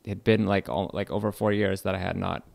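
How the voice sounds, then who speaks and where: Young Californian lecturer, low gravelly voice, relaxed and halting, dry close sound. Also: male voice, dry recording. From California, United States. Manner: relaxed, hesitant, many fillers and restarts. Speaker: English literature lecturer. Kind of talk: casual radio interview.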